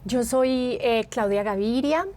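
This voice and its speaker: A woman's voice: on the high side, slow pace, a little hiss or hum. Antioquian Colombian woman, thoughtful podcast guest. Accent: Antioquian Colombian Spanish. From Colombia (Medellín). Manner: warm, reflective, laughs often, uses fillers. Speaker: guest psychologist and therapist. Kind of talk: casual podcast conversation.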